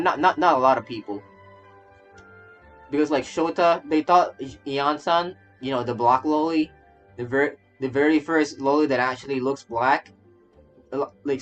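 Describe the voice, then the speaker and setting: A man's voice: medium pitch, muffled recording. Rambling male game streamer, music behind. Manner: rambling, stammering, repeats phrases. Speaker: streamer reacting to a game story. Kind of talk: casual gaming stream commentary.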